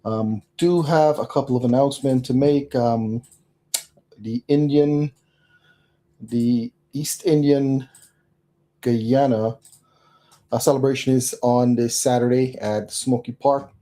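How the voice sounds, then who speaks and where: A man's voice: mid-range voice, fairly even intonation, slow, pauses often, dark tone. A man hosting a live talk show, making announcements and welcoming a guest in an upbeat way with frequent fillers. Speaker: show host.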